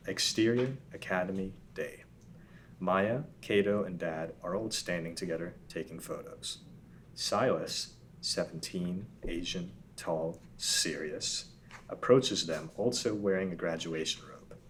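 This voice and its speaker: A man's voice: sits low, measured pace, a little hiss or hum. A man narrating a script at a table read, reading the stage directions plainly.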